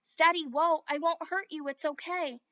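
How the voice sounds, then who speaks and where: A woman voices an earnest young boy, coaxing, high and bright, dull dry sound. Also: fairly even intonation, normal speed, no echo. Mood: soothing.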